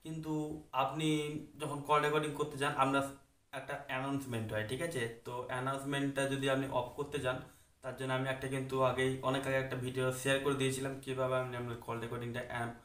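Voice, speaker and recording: A man's voice: medium pitch, flat delivery, fast talker, fairly bright tone. A man presenting an informal tech tutorial as a channel host, often tagging statements with a checking question. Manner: repeatedly adds a checking tag to statements.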